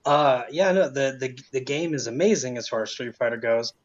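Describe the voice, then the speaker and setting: A man's voice: medium pitch, moderate pace. A man on a panel casually giving his opinions on films. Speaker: film panelist. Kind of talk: casual chat. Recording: slightly dull, lo-fi mic.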